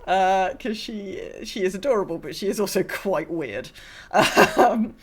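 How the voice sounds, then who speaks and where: A woman in her mid-forties co-hosting a podcast, chatting casually in a rambling, self-deprecating and expressive way. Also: mid-range voice, a little slow, breathy edge. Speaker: podcast co-host. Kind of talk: casual chat.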